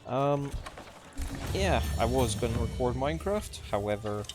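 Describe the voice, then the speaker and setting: A man giving casual, subdued gameplay commentary in halting phrases, his voice slightly off from a sore throat. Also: mid-range voice, animated, music in the background. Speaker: video game let's player. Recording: clearly noisy background.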